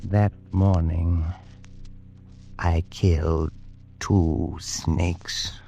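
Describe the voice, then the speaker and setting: Male for haunted, defensive adventurer-narrator; low register, dramatic vintage radio style over scoring. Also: little pitch movement, very slow, vocal fry, soft background music, full character voice. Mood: weary relief. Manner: dramatic, swinging from hushed to frantic. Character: haunted, defensive colonial man recounting a curse. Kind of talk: 1940s-50s radio thriller acting and narration.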